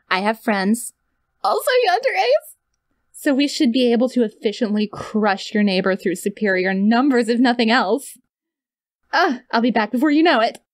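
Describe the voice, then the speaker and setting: A woman's voice: high voice, no room sound, studio quality. A woman playing a sweet, awkward, clingy young yandere kidnapper in an ASMR audio roleplay, rambling and gushing straight to the listener.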